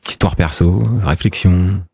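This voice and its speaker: Deep-voiced casual male host. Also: gravelly, slightly rough, no echo, clean studio sound. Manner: relaxed, slangy, quick prompts. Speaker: interview host. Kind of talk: casual interview talk.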